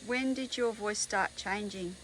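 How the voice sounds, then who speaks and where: A woman's voice: medium pitch, a little slow, a bit bright, slight background noise. A woman interviewing someone, asking short, plain questions in a casual way.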